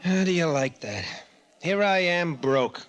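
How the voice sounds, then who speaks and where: A man's voice: a little high, expressive, unhurried. An actor playing a brash young down-on-his-luck racetrack tout, cheeky and casual, in old-time radio drama style. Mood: curious, scheming. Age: young adult. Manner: cheeky, casual, persistent hustler's patter. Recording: vintage radio broadcast recording.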